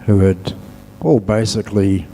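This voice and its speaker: A man giving a Buddhist talk as a monk and teacher, speaking in a measured, halting way with long pauses. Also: lowish voice, steady tone, mellow, a little hiss or hum, rough recording. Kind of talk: dhamma talk to an audience.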